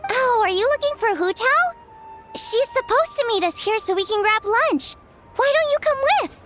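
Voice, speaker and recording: A woman's voice: extremely high pitch, animated, moderate pace. A woman playing a sociable, playful young woman in a video game, chatty and friendly. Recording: clear music behind. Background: game music.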